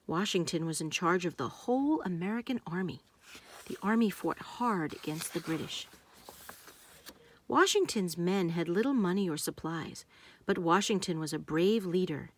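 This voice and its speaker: A woman narrating a children's storybook, reading aloud in simple, deliberate phrases meant for young listeners. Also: fairly low pitch.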